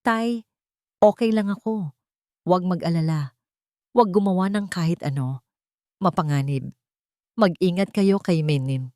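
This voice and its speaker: A woman's voice: lowish voice, a little slow, long pauses, dark tone, no echo, clean studio sound, lightly acted. A woman voicing a brave, protective captive daughter in an audio story, giving terse, urgent warnings.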